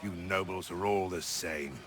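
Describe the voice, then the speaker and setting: Curt, resentful sea captain. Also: male voice, deep voice, fairly even intonation, measured pace, noisy background. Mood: resentful, disdainful. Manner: disdainful, clipped. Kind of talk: video game cutscene acting.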